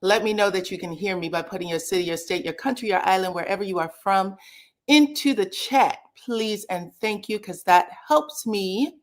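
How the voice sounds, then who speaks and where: A woman, chatting casually as she hosts a livestream recap and greets viewers by name. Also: mid-range voice. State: slightly scratchy voice. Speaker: reality TV recap livestream host.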